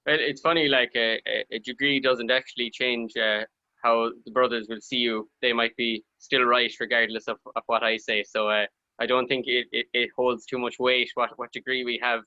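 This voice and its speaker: A man with an Irish accent, a band member on a video call with a dry, measured humor. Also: mid-range voice, flat delivery, sharp tone, dry recording, highs cut off. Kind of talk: casual interview chat.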